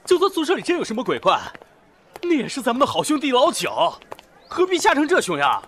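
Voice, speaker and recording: A man's voice: extremely high pitch, huge pitch swings, fairly quick, fairly bright tone, a little hiss or hum, full character voice. An actor playing a brash, blustering male college student in an audio drama, blunt and exclamatory.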